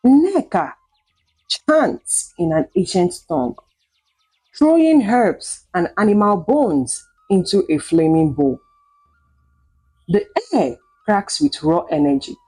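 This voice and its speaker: Expressive, unhurried woman storyteller. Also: on the low side, slightly rough, soft background music, low-quality recording. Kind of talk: dramatic scripted folktale narration.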